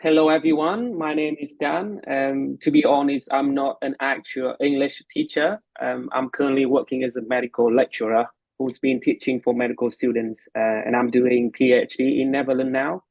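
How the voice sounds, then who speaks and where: A man's voice: mid-range voice, steady tone, moderate pace, gravelly, no echo, muffled recording. A man with a Vietnamese accent hosting a casual chat, speaking hesitantly with frequent fillers and restarts. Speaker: host, medical lecturer and English tutor. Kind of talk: casual conversational introduction.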